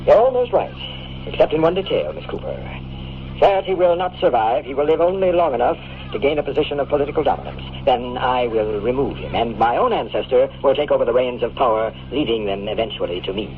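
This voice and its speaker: An actor playing a smug, power-hungry villain in a 1950s radio drama, speaking with formal, condescending politeness. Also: male voice, mid-range voice, animated, fast, natural voice. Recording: tinny, hissy old radio broadcast recording.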